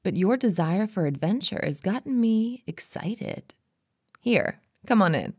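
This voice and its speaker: A woman's voice: medium pitch, expressive, unhurried, husky, no room sound, voice pushed up. A woman playing a seductive female hypnotist in an intimate roleplay, speaking in a soothing, coaxing way, often close to a whisper. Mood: friendly, coaxing. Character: seductive female hypnotist luring a lost traveler.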